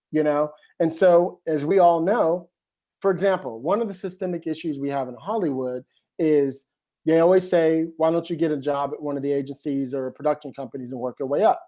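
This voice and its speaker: A man's voice: on the high side, mellow. A man, a screenwriter, talking casually and animatedly as a guest, often checking in with "you know what I mean". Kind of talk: casual conversation.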